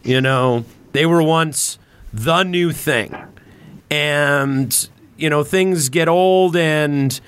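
A man chatting casually on a podcast, reflective and opinionated, halting as he thinks aloud and swearing freely. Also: fairly high pitch, a bit bright, clear, resonant tone.

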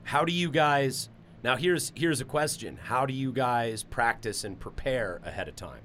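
A man with a deep voice hosting a podcast, rambling loosely about singing and music apps. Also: moderate pace, a bit bright, slight background noise. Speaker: podcast host; singer. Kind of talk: casual chat.

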